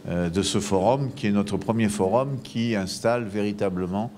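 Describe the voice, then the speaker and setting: Very deep, halting man. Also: darkish tone, slight background noise. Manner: hesitant, pausing. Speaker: local official giving a speech. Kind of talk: halting public speech.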